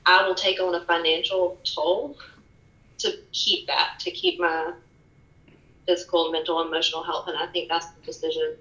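Soft-spoken bright woman, echoey room. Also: on the low side, fairly even intonation, normal speed, lo-fi sound. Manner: soft-spoken, candid and reflective. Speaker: podcast guest, fitness studio owner. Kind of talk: casual interview chat.

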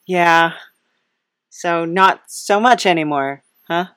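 A woman podcast host with an unhurried pace, recorded dry and close. She chats casually and wryly, rambling and hesitating as she puts questions in a comic interview. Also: on the low side, fairly bright tone, clear, resonant tone, dry recording.

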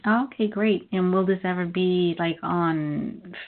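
A woman's voice: on the low side, steady tone, slow. An American woman hosting an interview in a conversational style, affirming and acknowledging her guest. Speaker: radio interview host. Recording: narrow phone-like radio sound.

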